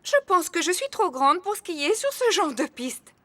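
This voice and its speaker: A confident, impulsive mother pig in a French cartoon. Her high voice is bright and self-assured, leaping wildly in pitch. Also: sing-song, moderate pace, dry recording, exaggerated voice.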